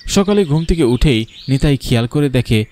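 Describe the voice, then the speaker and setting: A man's voice: mid-range voice, expressive, a little fast, darkish tone. A man narrating a scripted horror cartoon story. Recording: faint background music. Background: faint music.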